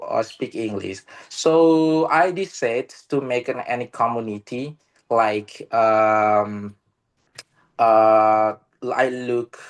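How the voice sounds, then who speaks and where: Indonesian guy on a video call, talks real slow, lots of uh and restarts, mid pitch. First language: Indonesian local language. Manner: halting, many "uh" pauses and restarts. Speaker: meeting participant. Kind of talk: casual online discussion.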